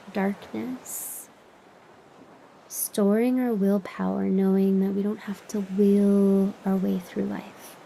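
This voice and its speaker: A woman leading a guided meditation as a teacher, soothing and unhurried, with long pauses and breathy phrase endings that drop toward a whisper. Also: medium pitch, dark tone, a little hiss or hum, poor audio. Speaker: meditation teacher and podcast host.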